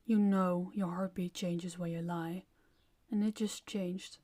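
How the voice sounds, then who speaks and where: A woman playing a gentle, concerned vampire mother in an audio roleplay, coaxing a child with nurturing but firm parental care. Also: on the low side, fairly even intonation, slow pace. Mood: gently scolding. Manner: soft-hearted, coaxing, parental.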